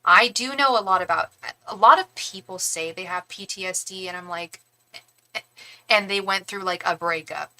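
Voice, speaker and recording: Bright-voiced chatty young woman. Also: mid-range voice, normal speed, poor audio. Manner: chatty, rambling, talks straight to viewers. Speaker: tarot reader and psychic. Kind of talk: casual live-stream reading.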